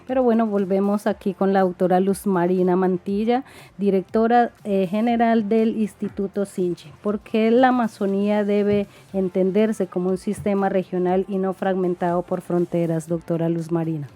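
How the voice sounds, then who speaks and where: Mellow-voiced woman radio host. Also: medium pitch, steady tone. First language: Murui. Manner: formal, measured questions. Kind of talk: radio interview.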